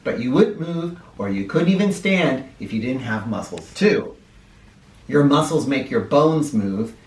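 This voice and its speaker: Male children's book reader. Slow, lively sing-up-and-down delivery, instructive and direct, heard a little away from the mic. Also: mid-range voice. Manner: expressive, instructive, addressing young listeners directly. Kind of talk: animated read-aloud of a children's picture book, with asides. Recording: roomy echo, distant from the microphone.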